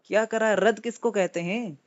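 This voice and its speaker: A man's voice: steady tone, brisk. A man questioning someone insistently in an argument over the phone, probing and echoing the other speaker's words back. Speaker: challenger in a religious debate. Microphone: phone call. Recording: muffled low-fi phone call recording.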